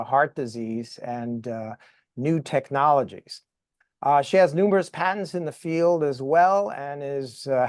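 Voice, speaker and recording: Male podcast host, slightly higher voice, measured delivery with fillers, dry close sound. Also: dry recording. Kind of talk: interview, reading a guest introduction.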